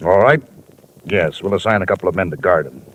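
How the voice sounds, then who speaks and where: Pompous, low-pitched district attorney. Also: male voice, brisk, fairly bright tone. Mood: grudging. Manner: pompous, curt. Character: indignant, officious male district attorney, curt and grudging. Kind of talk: 1950s radio drama acting. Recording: muffled, noisy vintage radio recording.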